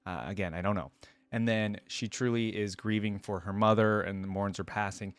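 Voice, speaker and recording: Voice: deep voice, fairly even intonation, moderate pace, smooth, dry recording. A reaction video host giving casual, conversational commentary on game voice lines.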